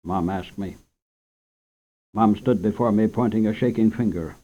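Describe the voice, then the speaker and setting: A man reading a children's short story aloud for radio in a measured, gentle storytelling manner. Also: lowish voice. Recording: dull lo-fi old radio recording.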